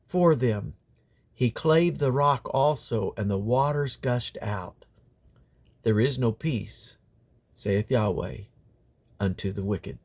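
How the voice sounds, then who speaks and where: A man reading the Bible aloud in a solemn, declamatory way, with deliberate phrasing and emphatic stresses. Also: on the high side. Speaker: scripture reader.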